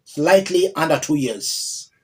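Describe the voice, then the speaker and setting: Male Kenyan political commentator; measured, unhurried delivery, widely varied pitch, repeats points for emphasis. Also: lively intonation, a little mellow. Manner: emphatic, deliberate, repeats key phrases. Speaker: political commentator, channel host. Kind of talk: political video commentary addressed to viewers.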